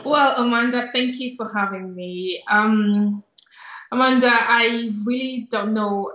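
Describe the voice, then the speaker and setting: A woman's voice: a little high, slow pace, fairly bright tone. A middle-aged woman with a Kenyan accent tells her personal story reflectively as an interview guest, repeating words for emphasis, over a video call. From Kenya, grew up in Nairobi. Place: small indoor room on a video call. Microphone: earphone headset. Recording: roomy, echoing video call sound.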